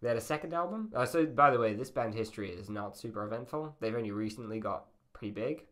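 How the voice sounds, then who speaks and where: A man's voice: mid-range voice, expressive, fast, a bit bright. A man hosting a podcast in a casual, rambling and self-deprecating way. His voice sounds slightly feminine. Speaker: podcast host. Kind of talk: casual chat.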